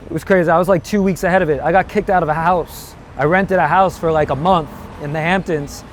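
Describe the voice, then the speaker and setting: Male comic guest, slightly high voice, rambling profane anecdotes, street ambience with faint traffic. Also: steady tone, fast. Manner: rambling storyteller with swearing. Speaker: comedian guest. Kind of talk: casual street chat. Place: outdoors on a city street.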